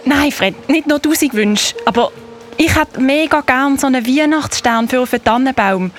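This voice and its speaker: A woman's voice: high-pitched, normal speed, soft background music, natural voice. A woman playing a sensible, chatty girl in a children's Christmas audio play. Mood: happy. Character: sensible, quick-witted girl, companion to a boy.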